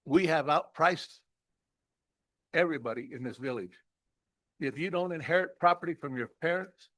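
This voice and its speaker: A man speaks at a council meeting podium, plainspoken and indignant. His voice sits slightly high and sounds dry and close, with little room echo. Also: a bit bright. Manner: plainspoken and indignant, with repeated words. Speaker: resident giving public comment. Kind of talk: public comment at a council meeting. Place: council chamber.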